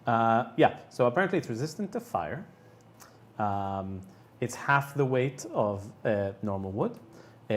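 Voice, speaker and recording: A man improvising narration as game master of a tabletop game, speaking haltingly with many filler sounds. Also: medium pitch, slight background noise. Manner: halting, hesitant delivery full of filler sounds.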